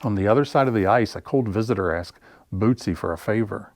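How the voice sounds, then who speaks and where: A man hosting a library story time, reading picture books to young children in a deliberate, expressive way with pauses for effect. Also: on the low side. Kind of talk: picture-book read-aloud for young children.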